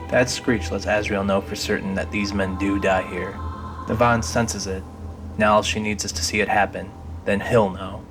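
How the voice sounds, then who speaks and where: A man narrating a scripted audio drama. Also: fairly low pitch.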